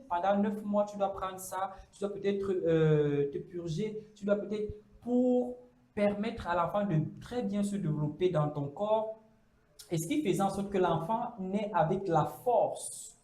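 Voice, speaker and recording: A man's voice: high voice, mellow. A man, chatting as a guest on a campus show, hesitant, with many fillers. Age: 30s. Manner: hesitant, many fillers, acts out quoted speech. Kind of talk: casual debate on a campus show. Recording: echoey room.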